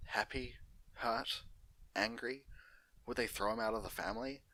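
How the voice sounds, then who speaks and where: A man's voice: medium pitch, normal speed, pushed and bright, low-quality recording. A man narrating and hosting an unedited fan fiction story reading.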